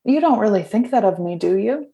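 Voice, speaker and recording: A woman playing a tough, cynical starship captain with a blunt, deadpan delivery in a comedic sci-fi radio play. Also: medium pitch, a little fast, gravelly. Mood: wounded.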